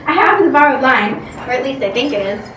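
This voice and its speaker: A woman's voice: high voice, animated, brisk. A female performer acting out lines in an amateur readers theatre piece. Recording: noisy echoey room from far off.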